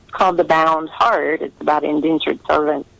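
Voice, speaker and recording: Creaky-voiced woman chatting as a guest author. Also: deep voice, steady tone, normal speed, fairly bright tone, no room sound, poor audio. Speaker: novelist, podcast guest. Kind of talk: casual interview chat about own writing.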